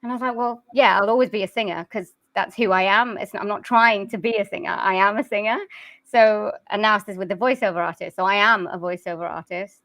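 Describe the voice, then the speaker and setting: A woman's voice: medium pitch, fairly even intonation, a little fast, a bit bright, dry recording. A woman from South Wales, a singer and voice-over artist chatting and giggling as an interview guest. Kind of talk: casual interview.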